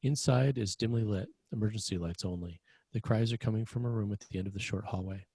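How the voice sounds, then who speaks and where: A man with a low, husky voice narrates a screenplay. He reads scene directions as scripted narration, and the sound is dry and close. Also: quick pace, dark tone, no room sound. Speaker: narrator reading stage directions.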